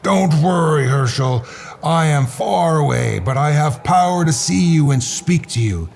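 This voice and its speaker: A man voicing a menacing, boastful king of the goblins for a storybook, slow and threatening, with a gravelly voice. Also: a little high, animated, darkish tone, faint music behind, pitched above the performer's own voice.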